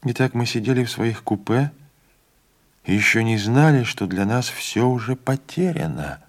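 A man voicing a young male student who speaks with quiet, hushed fatalism. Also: medium pitch, animated, moderate pace, voice pushed up, close to an everyday voice. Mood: dread.

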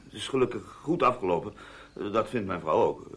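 A man's voice: lowish voice, lively intonation, a little fast, a bit bright. A man acting a polite, unsuspecting husband in a Dutch radio play, courteous and a little hesitant.

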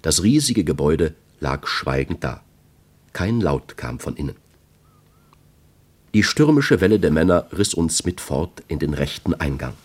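A male eyewitness in a radio play with a very deep, husky voice. He recounts events in the first person, soberly and factually, as scripted narration. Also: big pitch swings, a little slow, exaggerated voice. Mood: sober, gripped.

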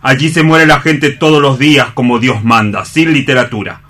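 Bright-voiced, aggrieved loyal assistant. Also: male voice, mid-range voice, moderate pace. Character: earnest, morbidly disappointed male assistant, comically solemn idealist. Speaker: actor in a radio play. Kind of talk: dramatic staged radio reading.

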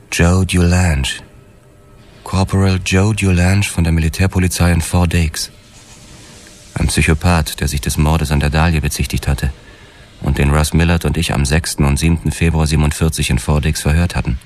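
An actor narrating a noir radio drama as a world-weary police detective, terse, grim and sober. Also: male voice, extremely low pitch, steady tone, moderate pace, darkish tone.

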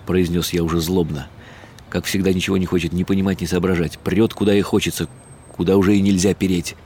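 A man reading a first-person literary story as a radio play, in a measured, wry and bitter tone. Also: deep voice, flat delivery, warm tone, a little hiss or hum.

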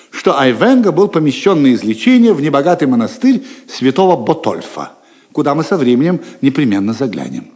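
A man's voice: medium pitch, a little mellow. Male narrator for audio drama: confiding, theatrical, wide intonation, long reverb.

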